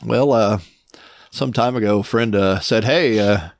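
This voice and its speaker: A man's voice: fairly low pitch, a little slow, no echo, studio quality. A middle-aged man with a folksy, laid-back way of talking, chatting casually as a show host. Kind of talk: casual chat while unboxing vintage electronics. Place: small studio room full of audio gear. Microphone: microphone on a stand.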